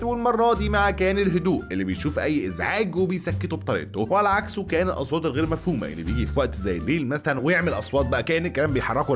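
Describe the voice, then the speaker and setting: A man with an Egyptian accent telling a film story in casual slang, with joking, chummy asides to the listener. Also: on the high side. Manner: animated, acts out bits of dialogue. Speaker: film recap narrator.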